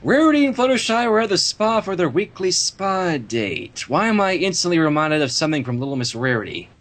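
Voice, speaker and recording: An expressive reader narrating a fan fiction story, with casual asides along the way. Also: medium pitch, fairly quick.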